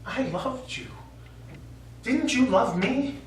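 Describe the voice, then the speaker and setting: Guy acting a sorry lovelorn middle-aged army vet in a play, pleading n halting, echoey, bit of noise. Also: very high-pitched, expressive, full character voice. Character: remorseful, lovelorn middle-aged American war veteran. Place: small theatre stage. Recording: echoey theatre stage, distant mic, faint noise.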